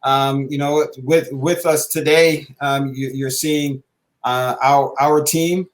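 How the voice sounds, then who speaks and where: A middle-aged man hosting a show conversationally, halting often with filled pauses. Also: mid-range voice, steady tone. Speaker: podcast host, basketball coach.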